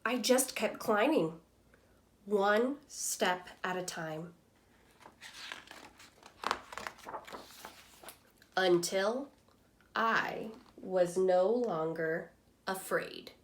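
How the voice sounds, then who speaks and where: Woman as timid earnest storybook egg, male character, slow expressive telling, mid pitch. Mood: determined. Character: earnest, timid but persevering egg, Humpty Dumpty, narrating his story.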